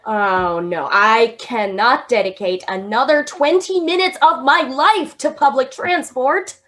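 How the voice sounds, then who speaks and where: A woman's voice: high-pitched, measured pace, a bit bright. A woman playing a dry, sarcastic stranded commuter. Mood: weary. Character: weary sarcastic young woman stranded at a station.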